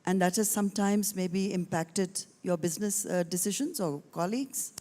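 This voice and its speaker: A woman moderating an on-stage interview, conversational and teasing, with filler pauses. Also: mid-range voice, mellow. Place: festival stage before an audience.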